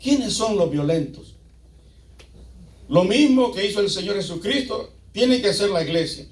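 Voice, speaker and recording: A man's voice: sits high, expressive, normal speed. A male pastor with a Latin American Spanish accent preaching emphatically to a congregation, repeating phrases and posing rhetorical questions. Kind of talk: sermon to a congregation. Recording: echoey room, picked up from a distance.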